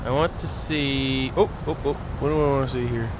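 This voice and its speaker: A man's voice: mid-range voice, fairly even intonation, normal speed, noisy background. A man hosting a gaming video, reading narration aloud with playful, jokey improvised asides.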